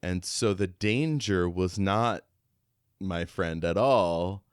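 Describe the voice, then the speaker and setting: A man's voice: low voice, slow, clean tone, dry recording, clean studio sound. A man co-hosting a podcast, talking casually and reflectively about a book in informal, thought-out phrases. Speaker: podcast co-host. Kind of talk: casual book discussion chat.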